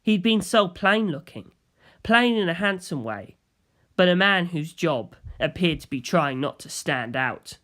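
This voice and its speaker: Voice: mid-range voice, fairly bright tone. A narrator reading a scripted story in the first person.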